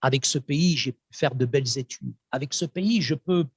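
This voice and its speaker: Man, pastor, native Arabic speaker, fervent and emphatic, husky voice, dry close sound. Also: mid-range voice, no echo. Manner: fervent, emphatic, with repetitions and pauses. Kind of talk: encouraging testimony address.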